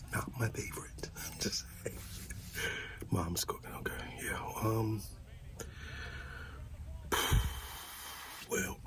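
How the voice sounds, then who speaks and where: A man doing a casual ASMR roleplay as an easygoing, supportive friend, talking softly in a laid-back buddy way. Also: deep voice, gravelly, rough recording. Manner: soft-spoken, half-whispered, laid-back buddy talk.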